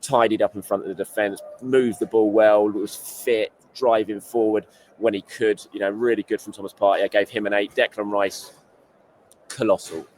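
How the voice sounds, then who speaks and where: A man hosting a football show, talking fast in a rambling, elated post-match report from a stadium while losing his voice. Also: fairly low pitch, steady tone, a little mellow. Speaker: football journalist and show host.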